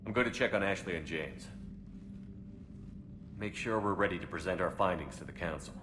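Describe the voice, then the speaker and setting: Male, game role: grim military starship captain, played by a male actor, low-pitched, terse, commanding, over noisy ambience. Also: moderate pace, close to an everyday voice. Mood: weary, doubtful. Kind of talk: video game cinematic acting.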